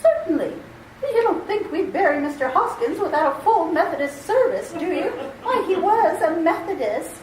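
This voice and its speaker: A woman playing a gentle, devout old aunt, polite and genteel, in old-time radio theater style. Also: very high voice, animated, normal speed. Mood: gently proper. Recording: roomy, distant, faintly noisy stage recording.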